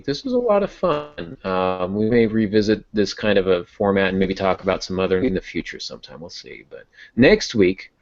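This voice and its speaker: A man with a deep voice hosts a casual podcast. He explains things chattily and pokes fun at himself. The audio is dull and lo-fi. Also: sing-song, moderate pace. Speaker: podcast host and novelist.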